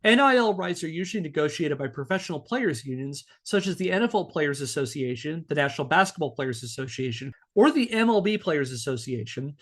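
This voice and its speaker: A man hosting a podcast, explaining prepared material in an instructive way and spelling things out in careful lists. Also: a little high. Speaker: podcast host and presenter.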